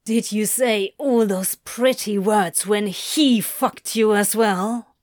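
A woman's voice: mid-range voice, slow, no echo, studio quality, natural voice. Woman as a jealous, growling, bitter man. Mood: jealous, hurt. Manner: growling, hissing, forced through gritted teeth. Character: jealous, bitter, mocking male lover of an angel.

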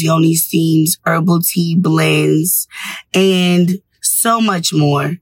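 A woman's voice: lowish voice, no echo. A woman hosting a radio show, delivering a scripted motivational monologue in an encouraging, instructive manner.

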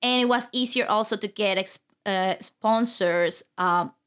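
A woman's voice: mid-range voice, measured pace, a bit bright, smooth. A woman in her thirties with a Mexican Spanish accent, chatting as a podcast guest, giggly, with loose grammar. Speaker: podcast guest, lifestyle blogger. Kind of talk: casual interview chat.

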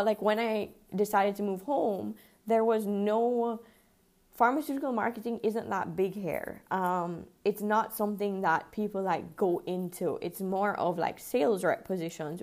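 Young woman podcasting, creaky voice. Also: mid-range voice. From Jamaica. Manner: informal, chatty, mild swearing. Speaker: podcast host. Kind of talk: casual personal podcast monologue.

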